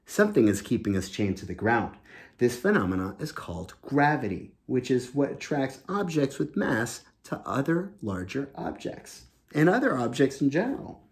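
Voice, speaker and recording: An animated man reads aloud to children like an eager teacher, pausing to explain things in simple words. His voice sits at an ordinary pitch but swings up and down a lot. He is recorded at home in a room. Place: small home room indoors.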